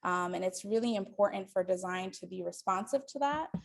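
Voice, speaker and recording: A woman's voice: medium pitch, fairly quick, gravelly. A woman in her forties, from the Dominican Republic, giving a lecture to a live audience in a hall, thinking aloud with many ums and restarts. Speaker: guest lecturer.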